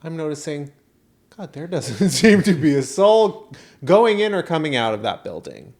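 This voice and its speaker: A man co-hosting a podcast, telling casual comedic stories in an animated, dramatic way and acting out reactions. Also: a little high, normal speed. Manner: animated, dramatic storyteller who acts out reactions and quotes. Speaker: podcast co-host. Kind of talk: casual comedic storytelling chat.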